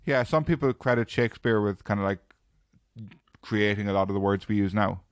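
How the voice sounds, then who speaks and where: A man's voice: on the low side, a little fast, clean tone, no room sound. Joking young Irish man, dry sound. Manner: jokey, banters with friends, laughs while telling stories. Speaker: podcast co-host. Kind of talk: casual chat.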